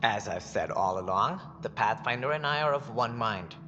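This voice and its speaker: A man voicing a smug, grandiose alien director in a video game, flattering and self-important. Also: mid-range voice, moderate pace, lightly acted. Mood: officious. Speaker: acting director of a colonial initiative. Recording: dull game audio, long reverb, faint noise.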